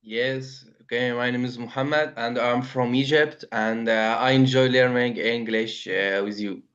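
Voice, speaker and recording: A man's voice: mid-range voice, fairly even intonation, unhurried, fairly bright tone. A man who speaks natively, a student speaking hesitant learner's English over muffled online call audio.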